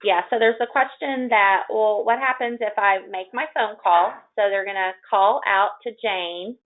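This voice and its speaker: A woman's voice: mid-range voice, normal speed. A woman hosting a webinar informally, often rephrasing herself in a conversational, hesitant way. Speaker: webinar host and moderator. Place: online or phone conference call. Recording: tinny, phone-like call audio.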